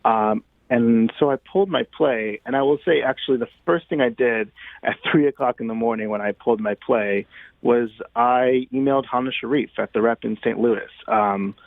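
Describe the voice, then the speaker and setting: A man being interviewed as a guest playwright, talking conversationally in a thoughtful, halting way with many pauses. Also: mid-range voice, gravelly, dry recording, narrow, tinny audio.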